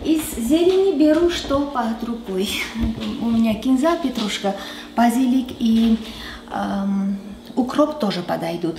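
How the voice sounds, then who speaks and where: A woman's voice: a little high, lively intonation, unhurried, warm tone, a little hiss or hum. A woman, chatting casually as she cooks in a large home kitchen and sometimes searching for words. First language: Armenian. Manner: chatty, searches for Russian words, slips into English. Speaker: cooking show host. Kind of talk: casual cooking demonstration. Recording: echoey kitchen with background music.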